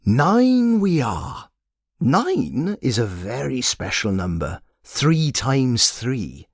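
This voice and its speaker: A man acting a whimsical male spiritual guide, slow ceremonial delivery, animated pitch, storybook character, dry close sound. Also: no room sound, full character voice. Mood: cryptic, playful. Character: balding childlike mystical male guide, serene and giggly.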